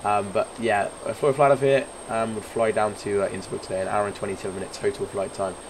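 A man with a hoarse, croaky voice giving casual, rambling commentary on a flight simulator game, full of fillers and restarts. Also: fairly low pitch, steady tone, fast, noisy background. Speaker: flight sim streamer.

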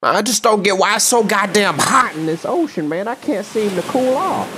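Man voicing a whiny, high-pitched sea creature. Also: expressive, moderate pace, background noise. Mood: exasperated. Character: overheated, complaining male sea creature. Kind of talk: comic animal voiceover.

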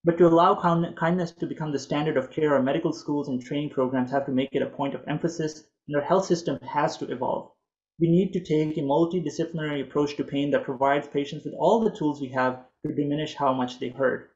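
A man in his thirties whose first language is Urdu, reading a prepared talk in a measured, earnest way over a video call from a home office. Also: fairly high pitch, steady tone, muffled recording. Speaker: physician presenter.

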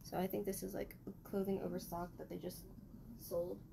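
Chatty young woman with a gravelly voice. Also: lowish voice, little pitch movement, moderate pace. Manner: rambling, chatty, talking to herself while doing laundry. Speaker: vlogger. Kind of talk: casual vlog narration. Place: small bedroom, indoors. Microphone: camera mic at a distance. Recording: noisy room recording from a camera mic.

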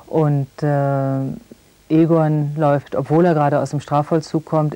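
Low, mellow female interviewer. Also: dry recording. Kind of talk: measured TV interview. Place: TV studio.

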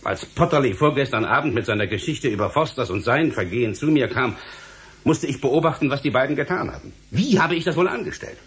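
An actor playing a stern, exasperated government official in a radio play, lecturing in an emphatic, theatrical, rhetorical way. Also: male voice, mid-range voice, animated, fairly quick, fairly bright tone, a little hiss or hum, natural voice. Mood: grave, triumphant. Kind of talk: German radio play acting.

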